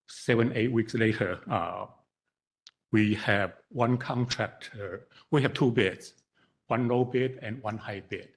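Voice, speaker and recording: A man in his sixties speaks with a Taiwanese accent, briefing a council as a consulting engineer. His voice is husky and sits fairly low. He talks haltingly, repeating words and filling pauses with "uh" in a council chamber. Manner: halting, frequent fillers and repeats. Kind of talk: presentation to council.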